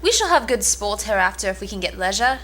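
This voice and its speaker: A woman's voice: mid-range voice, sing-song, fast, sharp tone. A woman playing a sly, teasing young page boy in an amateur reading of an old stage play.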